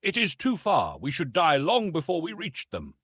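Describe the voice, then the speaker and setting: A man voicing a patient, civilized gentleman friend in an adventure audiobook, courteous and gently reasoning. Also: on the high side, wildly swooping pitch, moderate pace, breathy edge, dry recording, studio quality, pitched above the performer's own voice, full character voice. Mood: patient explaining. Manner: courteous, explanatory.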